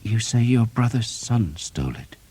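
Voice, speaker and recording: A man's voice: lowish voice, very animated, moderate pace, warm tone, husky, pitched above the performer's own voice. A man voicing a grieving young man who asks urgent, pleading questions.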